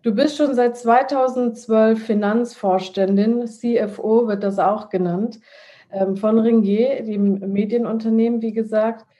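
A woman conducts an interview in a mellow, warm voice. Her questions are friendly and hesitant, with plenty of fillers between them. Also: fairly high pitch, steady tone, a little slow.

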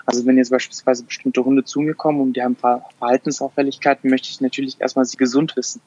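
A man's voice: mid-range voice, little pitch movement, fast talker, a little mellow, no echo, muffled recording. A man in his thirties, a dog behavior therapist, answering interview questions with many restarts and fillers. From Afghanistan.

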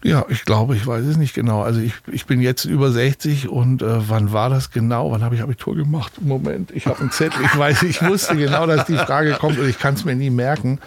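A man's voice: medium pitch, a little fast, few pauses, a little hiss or hum. A man in his sixties from Berlin, a guest telling chatty stories in an interview, with many fillers and restarts. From Berlin, Germany. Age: 60s. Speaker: arena manager, guest. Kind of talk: relaxed interview talk.

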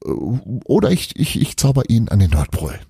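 A male narrator puts on a cautious girl from a magical storybook. The delivery is coaxing and quick to intervene, with a very varied melody. The tone is husky and dry. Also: medium pitch, very animated, warm tone, no echo, voice pushed up, heavily acted. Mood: hasty.

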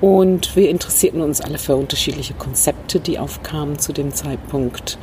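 A woman, speaking as an interview guest. She recalls her past thoughtfully, with pauses and fillers. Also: sits low, darkish tone. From Northern Ireland. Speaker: interview guest, communication trainer. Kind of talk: reflective storytelling. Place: rooftop terrace in a city, outdoors. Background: faint traffic noise, passing train.

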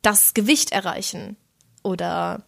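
A young woman with a cold, her voice a bit rough, chatting casually and laughing often as a podcast co-host. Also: medium pitch, steady tone, measured pace. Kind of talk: casual chat.

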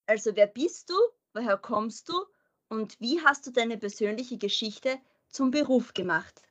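A woman's voice: fairly high pitch, measured pace. A young woman hosting a podcast who asks her guest chatty questions. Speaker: podcast host. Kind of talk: casual podcast interview.

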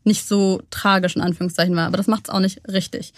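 A young woman from Germany, a guest in an interview, speaking in a thoughtful, measured way with frequent pauses to find her words. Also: medium pitch, fairly even intonation, no room sound, studio quality. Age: young adult. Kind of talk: reflective interview talk.

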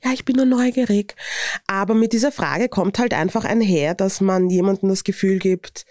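A woman's voice: mid-range voice, normal speed, no echo, studio quality. A woman from Vienna with a Viennese accent who talks frankly and laughs a lot as she answers interview questions about her book. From Vienna, Austria. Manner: lively, frank, laughs often. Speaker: author and interviewee.

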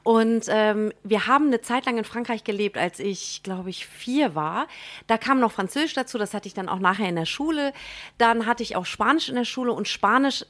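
A woman's voice: fairly high pitch, animated, normal speed, a bit bright. A young woman from Germany, a guest in a radio studio, talking in a bubbly, enthusiastic way with frequent laughs and slang. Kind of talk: casual interview chat. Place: radio studio in a theme park.

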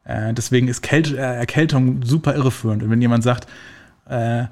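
A man explaining health myths in a casual podcast conversation, relaxed and full of fillers, using everyday examples. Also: mid-range voice, brisk.

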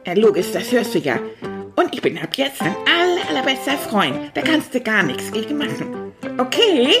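A woman's voice: on the high side, sing-song, moderate pace, loud music behind the voice. A woman voicing a boastful, chatty male stuffed toy rat who tells a children's story.

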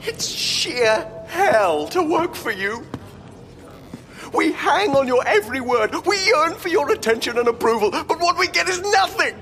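An anguished, menacing man in an audio drama. His high voice is sharp and slow-paced, full of intensity. It rings with long reverb. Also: big pitch swings, soft background music, full character voice. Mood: anguished, tearful.

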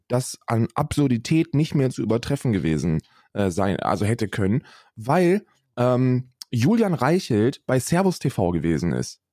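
A man chatting comically on a podcast, halting and rambling, dropping in bits of English. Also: mid-range voice, big pitch swings, warm tone, dry recording, clean studio sound. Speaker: podcast co-host. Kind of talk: casual comedic podcast banter.

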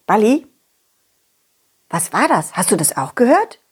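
Woman playing a talking dog, animated, husky edge, unhurried, confident, children's audio story. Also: mid-range voice, heavily acted. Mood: curious, narrating. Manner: animated, expressive, addresses children directly. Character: confident, loyal, friendly talking dog telling his adventure. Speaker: puppeteer storyteller.

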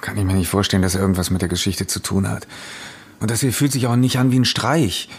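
A brooding, sleepless man in a fiction podcast. He talks in a low, slightly rough voice. His delivery is pensive and uneasy, with natural hesitations. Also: fairly even intonation, quick pace. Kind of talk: naturalistic German audio drama acting.

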